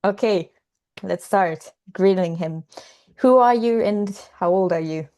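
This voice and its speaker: Gravelly young woman, cheerful. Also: medium pitch, unhurried, dark tone. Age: young adult. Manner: cheerful, giggly, interviewing. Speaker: podcast co-host, language teacher. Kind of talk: casual chat.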